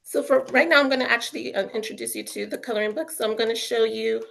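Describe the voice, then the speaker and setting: A woman's voice: a little high, quick pace, creaky, fairly bright tone. A woman giving a casual webinar talk as an author and educator, chatty and full of fillers, upbeat about children's learning. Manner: chatty, lots of fillers like "you know" and "um".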